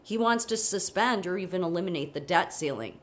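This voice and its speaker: A woman's voice: on the low side, lively intonation, a little fast. Sniffly woman with a cold, chatty. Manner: chatty, frequent restarts and asides, asks "okay?". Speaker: political commentator. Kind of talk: casual commentary reading a news article aloud.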